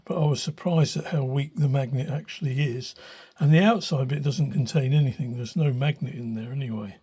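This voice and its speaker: Husky older man, hesitant and thoughtful. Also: mid-range voice, fairly quick, dark tone. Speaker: hobbyist radio restorer. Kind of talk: informal spoken demonstration, unscripted. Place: small cluttered room indoors. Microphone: handheld phone behind the camera.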